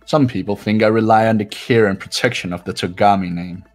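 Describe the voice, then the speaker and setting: A man acts out a haughty young male heir from a video game. His voice is low, and he talks down to everyone with measured, pompous superiority. Music plays clearly behind him. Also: fairly even intonation, natural voice. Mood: pompous, self-satisfied. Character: arrogant, condescending wealthy young male heir. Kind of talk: visual novel game voice-over.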